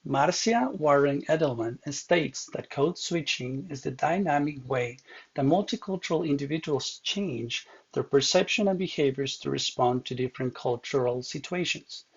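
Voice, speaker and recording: A man reads a prepared presentation in a measured, earnest voice that slips on a word now and then. His voice is gravelly and a little husky. The recording is muffled and lo-fi. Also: mid-range voice. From Ecuador. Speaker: counseling student. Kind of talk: read presentation narration.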